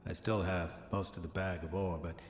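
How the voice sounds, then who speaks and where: An actor playing an arrogant, dissolute diarist of the 1910s, speaking formal old-fashioned entries in a pompous manner for a horror audio drama. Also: male voice, deep voice, level tone, normal speed. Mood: bitter, uneasy. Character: arrogant, dissolute 1910s male diarist, self-satisfied, increasingly haunted. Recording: echoey long reverb, music underneath. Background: music.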